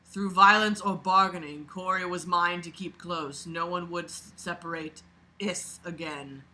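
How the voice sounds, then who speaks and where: A woman reading a book aloud as a host, casual and expressive, breaking off for sarcastic, sweary, mocking asides. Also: lowish voice, a little slow.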